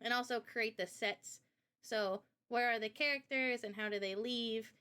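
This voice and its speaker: A woman with a slightly high voice talks in a relaxed livestream presentation style. She explains her work as a game developer in informal, slangy language. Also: normal speed, clear, resonant tone. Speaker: game developer presenting a visual novel project.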